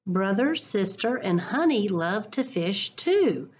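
Slow, husky woman reading a children's story. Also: mid-range voice. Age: middle-aged. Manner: deliberate, expressive storytelling. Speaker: school educator reading to children. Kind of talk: children's story read-aloud. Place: living room at home. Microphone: computer webcam at arm's length.

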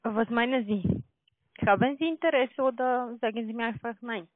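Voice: mid-range voice, moderate pace, no room sound. Foreign-accented call centre agent on a tinny line. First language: not German. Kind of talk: sales phone call. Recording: tinny dry phone line.

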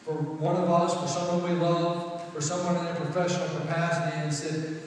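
A man's voice: fairly high pitch, level tone, brisk. Middle-aged male pastor, conversational sermon, roomy distant sound with a faint background hiss. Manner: conversational, repeats words when building a point. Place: large church sanctuary. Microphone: far camera, room pickup. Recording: echoey hall from far off.

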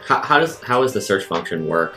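A man with a deep voice talks quickly and casually as a player in a tabletop game. His voice rings in an echoey room over faint guitar. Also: big pitch swings. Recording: echoey room, music underneath. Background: soft guitar music.

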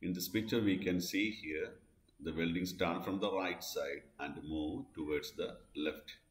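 A man narrating a scripted question-and-answer lesson as an instructor, deliberately repeating what he reads. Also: deep voice. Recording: echoey, roomy voice-over.

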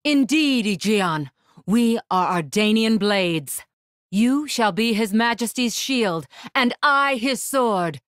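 A woman voicing a proud, composed, regal warrior spirit in a video game, with formal, commanding, measured diction. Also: on the high side, expressive, a bit bright, no room sound, clean studio sound. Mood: composed, stern, then resolute, commanding. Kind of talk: video game battle lines.

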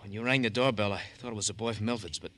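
An actor playing a mocking, menacing American killer with theatrical glee in an old-time radio drama. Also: male voice, lowish voice, steady tone, very fast, sharp tone. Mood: taunting, menacing. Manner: taunting, theatrical. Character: dying hoodlum killer, mocking, menacing, gleefully unhinged.

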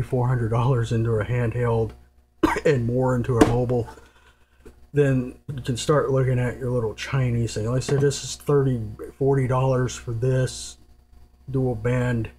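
A man's voice: medium pitch, level tone, moderate pace, warm tone. A man giving a casual, unscripted hands-on explanation in a rambling, informal and matter-of-fact manner. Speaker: presenter giving radio gear advice. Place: indoors at a table. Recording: noisy home recording with hum. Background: clear noise and hum.

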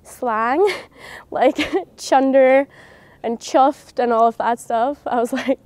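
A woman in her twenties from Norway speaks in a slightly high, clear voice. She chats easily and laughs readily while answering. The setting is an outdoor park. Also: takes it slowly, darkish tone, no room sound. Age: 20s. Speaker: student interviewee.

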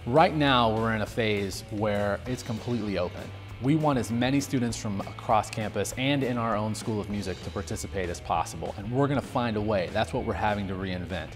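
A man on a music school's staff answering interview questions in informal, halting phrasing. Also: lowish voice. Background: faint music.

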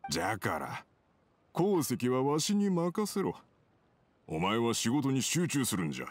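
Anime game role: gruff elderly craftsman, scolding and curt, very varied intonation. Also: mid-range voice, wildly swooping pitch, measured pace, dry recording. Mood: gruff, commanding. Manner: curt, scolding, old-fashioned speech. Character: stern, gruff, grumbling old master swordsmith.

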